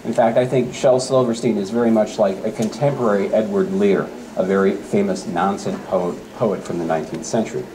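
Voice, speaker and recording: A middle-aged man giving a public talk and reading poems aloud, with expressive storytelling. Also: lowish voice, brisk, hardly any pauses, reverberant. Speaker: speaker reading poems at a library event. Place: campus center event space. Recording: live event with faint room noise. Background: faint ambient noise.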